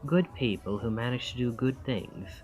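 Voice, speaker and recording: A man's voice: mid-range voice, moderate pace, darkish tone, faint music behind, natural voice, rough recording. A man acting a charming, friendly young college student in an intimate ASMR roleplay, with a self-deprecating, thoughtful and wry manner.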